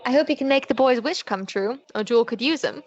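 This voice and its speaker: A woman playing a sassy, quick-witted female private detective in an old-time radio drama, wry and brisk. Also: sits high, a little mellow. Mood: teasing. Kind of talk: old-time radio drama acting with first-person narration. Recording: dull lo-fi audio.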